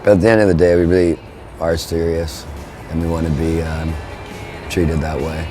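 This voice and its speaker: Laid-back young man, very low voice. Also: little pitch movement, moderate pace, dark tone, faint music behind. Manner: laid-back, rambling, with frequent fillers. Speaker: band frontman. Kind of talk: relaxed interview talk.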